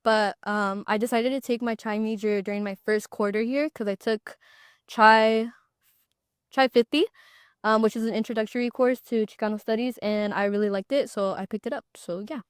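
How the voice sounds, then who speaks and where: Young woman, college podcast co-host, smooth clear voice, easygoing, close dry sound. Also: fairly high pitch, fairly even intonation, normal speed, dry recording. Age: young adult. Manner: friendly, easygoing, light laughs. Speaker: podcast co-host, student ambassador. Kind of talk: casual chat.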